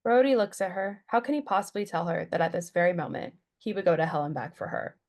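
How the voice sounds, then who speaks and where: A woman's voice: on the low side, quick pace. A woman giving scripted narration, reading stage directions at a table read.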